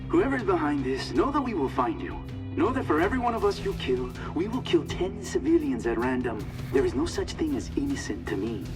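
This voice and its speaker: A man's voice: on the high side, huge pitch swings, a little fast. A hot-headed young cartel hitman in a game. He threatens in a raised, wildly swinging voice, a little distant, over music. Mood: threatening. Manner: threatening declamation.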